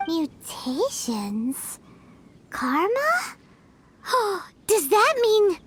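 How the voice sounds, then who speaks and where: A woman voicing a tiny, excitable fairy companion in a video game, childlike, squeaky and chatty, with exclamatory delivery. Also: very slow, heavily acted. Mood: surprised. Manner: refers to self in third person, exclamatory. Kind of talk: video game character acting.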